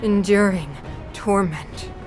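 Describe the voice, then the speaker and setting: Regal, obsessed villain matriarch for a game, played by a woman: very measured, grandiose delivery over loud music. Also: mid-range voice, close to an everyday voice. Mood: solemn, brooding. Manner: slow, grandiose, theatrical, breathy pauses. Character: regal, obsessed mother, supreme ruler turned villain. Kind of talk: dramatic video game acting. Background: dramatic game music.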